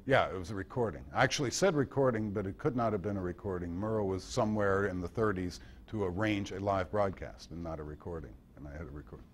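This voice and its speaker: An American man giving an informal talk and answering questions, hesitant and thoughtful, often pausing as he tells his anecdotes. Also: low-pitched, a little hiss or hum. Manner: hesitant, thoughtful, anecdotal delivery with long pauses. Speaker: guest speaker and author at a club meeting. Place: indoor club meeting.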